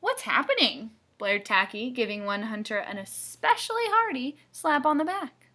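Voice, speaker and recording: A woman's voice: on the high side, very animated, normal speed. Playful woman reading a storybook aloud. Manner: playful, dramatic, with hushed asides. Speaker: storybook reader for children. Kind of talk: expressive children's read-aloud narration.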